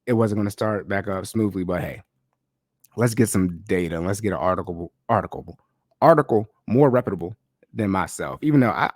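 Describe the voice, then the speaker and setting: A man's voice: lowish voice, no room sound, clean studio sound. A man hosting a casual podcast, talking in a loose, slangy way, a little congested and nasal from a cold. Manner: loose, chatty, slangy delivery. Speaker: podcast host. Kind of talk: casual solo podcast talk. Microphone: podcast microphone.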